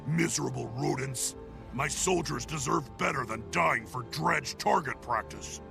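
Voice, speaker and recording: Gravelly, stern fantasy military officer. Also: male voice, medium pitch, a little slow. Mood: bitter, indignant. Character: stern, proud military officer of a dragon-fighting order. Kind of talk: fantasy video game voice acting. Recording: game music behind the voice.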